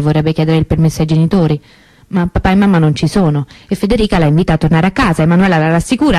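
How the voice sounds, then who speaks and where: A woman performing a radio theatre monologue as the anguished older sister of a missing girl, speaking emphatically and conversationally, with deliberate pauses. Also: deep voice, mellow.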